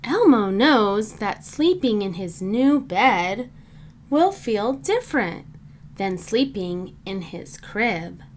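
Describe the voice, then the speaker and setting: A woman reading a children's storybook aloud in simple, deliberate phrases meant for young listeners. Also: medium pitch, very animated, a little hiss or hum. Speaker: children's book reader.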